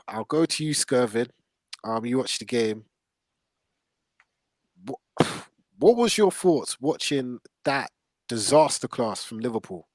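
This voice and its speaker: A man's voice: medium pitch, big pitch swings, slow pace, long pauses, dry recording. Man hosting casual football chat, dry sound. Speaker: podcast host.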